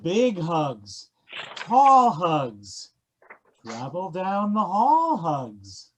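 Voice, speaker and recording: Very animated man reading slowly. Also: sits high, slight background noise, poor audio. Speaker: reader in a readers theatre. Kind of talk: expressive children's verse reading.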